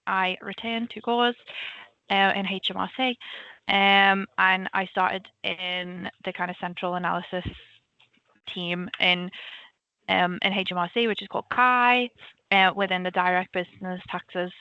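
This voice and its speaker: A woman in her twenties with a Liverpool accent, a government analyst giving a chatty, informal career talk at a quick clip. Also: medium pitch, steady tone, smooth, no echo, lo-fi sound. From Liverpool, England. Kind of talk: casual webinar presentation.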